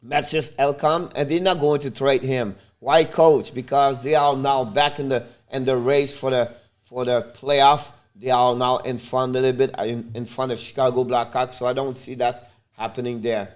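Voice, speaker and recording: A man from Montreal whose first language is French, speaking English with a Quebec French accent as he hosts a casual live stream, calling the game and chatting with viewers, with loud sudden outbursts. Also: medium pitch, little pitch movement, moderate pace. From Montreal, Canada. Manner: talks straight to viewers. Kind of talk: casual live play-by-play and chat.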